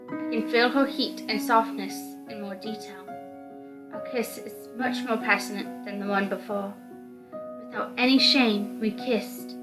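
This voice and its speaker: A woman's voice: medium pitch, low-quality recording. A woman reading scripted first-person narration from a visual novel aloud.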